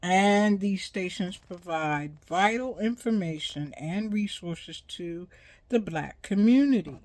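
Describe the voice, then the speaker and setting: Older female host, 60s plus, unhurried halting delivery, close dry sound, informal educational talk. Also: lowish voice, animated, a little mellow, no echo. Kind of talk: informal educational monologue on radio history.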